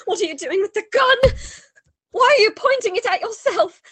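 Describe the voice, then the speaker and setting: A woman plays an earnest gentlewoman in a radio drama. Her voice is very high and sweeps up and down with theatrical, distraught feeling, recorded close and dry. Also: expressive, normal speed, no echo, full character voice. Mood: frightened. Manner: emotive, questioning, theatrical. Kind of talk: radio play acting.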